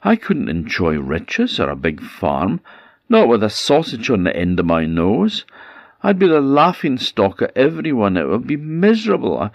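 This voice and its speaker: A man's voice: deep voice, huge pitch swings, unhurried, no echo, highs cut off, heavily acted. A man voicing a poor old peasant woman in a folk tale, pleading and complaining. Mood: miserable.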